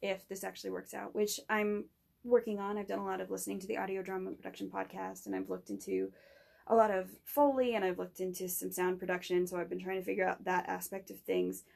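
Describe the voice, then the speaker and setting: A young woman student pitching her own project in casual conversation, rambling with fillers and restarts. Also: little pitch movement, brisk, creaky, darkish tone. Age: young adult.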